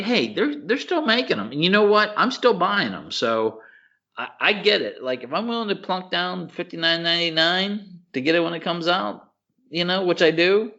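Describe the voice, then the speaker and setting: A man's voice: on the high side, sharp tone, muffled recording. A man co-hosting a casual podcast, giving opinions emphatically, with slang, repetition and rhetorical questions. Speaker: podcast co-host.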